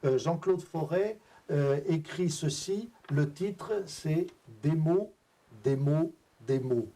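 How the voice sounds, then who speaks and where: A man's voice: on the high side, takes it slowly, warm tone. A middle-aged man moderating a live round-table, speaking hesitantly with many fillers.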